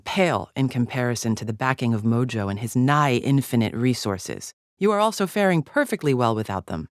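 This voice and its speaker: A woman's voice: a bass voice, a little mellow, dry recording, clean studio sound. A woman playing a haughty, contemptuous female alien agent in a video game, speaking formally with clipped, disdainful precision. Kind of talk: scripted video game character lines.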